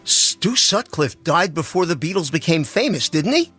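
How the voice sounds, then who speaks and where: A man's voice: fairly high pitch, big pitch swings, normal speed, a bit bright, husky, soft background music, exaggerated voice. A man playing a curious, joking married teacher in a dramatic reading, with an inquisitive, wry manner. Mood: questioning.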